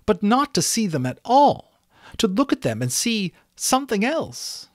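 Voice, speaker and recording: A man's voice: sits high, big pitch swings, measured pace, dry recording, clean studio sound, pitched above the performer's own voice. A man voicing a proud, haughty female unicorn with emphatic delivery in a storybook reading. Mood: bewildered. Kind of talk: storybook character voice.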